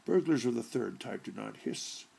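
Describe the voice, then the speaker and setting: Gravelly male short-story narrator. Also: mid-range voice, animated, normal speed, warm tone. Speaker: audiobook narrator.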